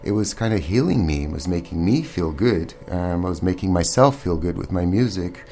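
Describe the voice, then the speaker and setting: Deep-voiced, earnest male musician guest. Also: expressive, normal speed, warm tone. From born in Armenia, raised in Montreal. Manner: earnest, hesitant, many ums. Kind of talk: casual interview answers. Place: radio studio. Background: faint ambient music.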